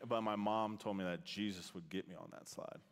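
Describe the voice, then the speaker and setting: A man in his thirties speaking as a guest in a church, casual and animated, laughing as he tells stories. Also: on the low side, brisk, slight background noise. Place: church sanctuary. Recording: hall reverb.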